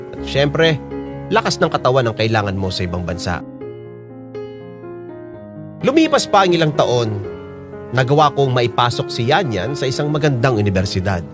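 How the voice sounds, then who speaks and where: Man narrator, earnest, wistful, mid-pitched, music underneath. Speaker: narrator of a drama. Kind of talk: scripted reflective narration.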